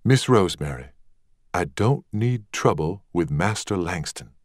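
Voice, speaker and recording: Man playing a solemn, low-voiced Southern workman. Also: lively intonation, unhurried, no echo, clean studio sound. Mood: guarded. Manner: reticent, deferential, Southern dialect. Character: solemn, guarded young Southern working man.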